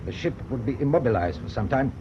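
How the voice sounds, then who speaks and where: An actor playing a stern, proud German naval captain in a radio drama, speaking in a clipped, formal, authoritative way. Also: male voice, medium pitch, animated, fast talker, close to an everyday voice. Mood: brusque, businesslike. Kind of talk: BBC radio drama acting. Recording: dull, noisy old radio broadcast tape.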